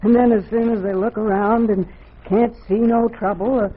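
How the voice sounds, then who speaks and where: Casting: outspoken middle-aged pioneer woman, high raspy voice, folksy radio-drama scold. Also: steady tone, normal speed. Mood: knowing. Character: feisty frontier wife, outspoken, folksy scolding older woman. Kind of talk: old-time radio drama acting. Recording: muffled, tinny old radio recording.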